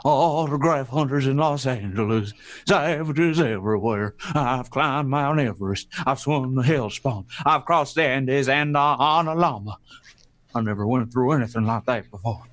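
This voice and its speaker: A man's voice: mid-range voice, animated, measured pace, natural voice. A man acting in an old-fashioned radio play as a harried, pompous adventurer and author, blustering and dismissive. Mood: grandiose complaint. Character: harried, pompous male adventurer-author, disdainful of fans and stars.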